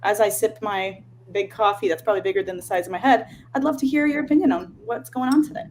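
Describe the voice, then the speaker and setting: A woman's voice: medium pitch, fast talker, a little mellow, slight background noise. A woman hosting an online panel discussion in an informal, effusive and chatty manner. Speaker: event host and panel moderator.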